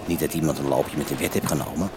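An actor playing a sly, knowing crook in a radio drama. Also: male voice, very deep voice, fairly even intonation, quick pace, loud music behind the voice. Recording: loud street noise.

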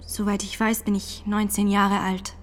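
A woman's voice: mid-range voice, little pitch movement, normal speed, lightly acted. An actress in a radio drama playing a devout, defiant nineteen-year-old peasant woman on trial, steadfast and plain-spoken in manner. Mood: steadfast, earnest.

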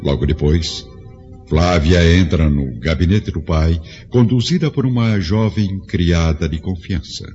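Deep, dramatic male radio drama narrator. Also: a little slow, dark tone. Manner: solemn, grandiose storytelling. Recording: dull lo-fi audio, prominent music behind.

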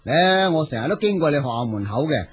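A man's voice: on the high side, very animated, fairly quick, slight background noise. A man playing a strict, worried father in a radio drama, fretful and lecturing, with a Hong Kong Cantonese accent. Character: worried, strict, nagging middle-aged father.

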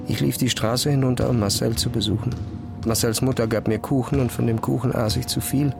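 A man reading first-person literary narration in an introspective, measured manner. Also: mid-range voice, steady tone, gravelly. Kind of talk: literary scripted narration, audio drama. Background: music.

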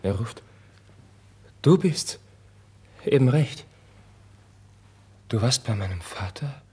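An actor playing a sensitive, impassioned young prince in a husky, breathy voice, with theatrical 1950s radio drama delivery. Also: male voice, mid-range voice, animated, slow pace, exaggerated voice. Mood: eager, probing. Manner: theatrical, swinging between hushed and fervent. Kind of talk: classical German radio drama acting, 1950s.

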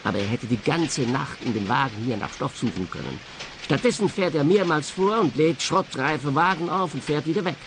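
Schauspieler als ernster Schrottplatzchef, leichte Hintergrundgeräusche. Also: male voice, on the high side, expressive, normal speed, background noise, close to an everyday voice. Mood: aggrieved, firm. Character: earnest, matter-of-fact male scrapyard boss. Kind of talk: radio play dialogue.

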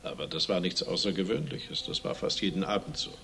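A composed, long-serving butler in a radio crime play. His voice is on the low side. He speaks with discreet formality, deferential and precise. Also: steady tone, a little fast, a bit bright, a little hiss or hum. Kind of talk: German radio crime drama dialogue.

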